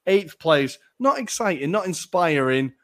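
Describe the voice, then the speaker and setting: A young man hosting a casual football podcast, chatty and jokey with lots of ums, his voice a little raspy. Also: fairly high pitch, sing-song, unhurried. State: slightly raspy voice. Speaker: podcast host.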